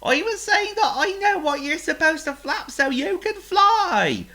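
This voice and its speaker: A woman voicing a kindly, slow-thinking pig who speaks in a slow, ponderous, cheerful way. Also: very deep voice, wildly swooping pitch, pushed and bright, pitched above the performer's own voice, heavily acted. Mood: excited.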